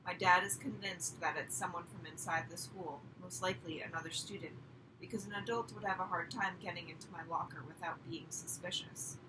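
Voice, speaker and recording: A woman's voice: on the low side, fairly even intonation, a little fast, close to an everyday voice. Distant, noisy woman reading as an earnest schoolboy. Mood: earnest, puzzled. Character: earnest schoolboy confiding in his uncle. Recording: distant mic, clearly noisy background.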